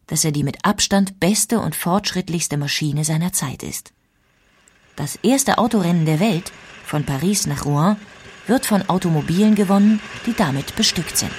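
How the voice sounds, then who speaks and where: Deep, husky female narrator. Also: darkish tone. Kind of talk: scripted documentary audiobook narration.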